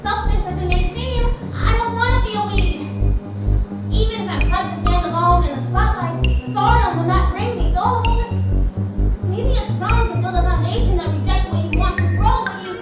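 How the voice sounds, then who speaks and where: A woman's voice: extremely high pitch, normal speed. A woman playing a conflicted, earnest young circus performer, wary and reflective, on a theater stage. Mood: troubled resolve. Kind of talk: stage play acting, dramatic monologue. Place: indoor theater stage, distant mic. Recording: distant echoey theater, loud music behind.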